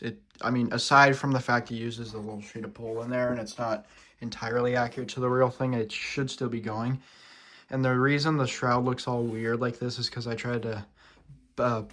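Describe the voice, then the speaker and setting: A man's voice: medium pitch, fairly bright tone. Sick young man rambling casually. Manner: rambling, many ums and uhs, loose and casual. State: sore throat, feeling sick. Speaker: siren collector vlogger. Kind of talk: casual show-and-tell vlog.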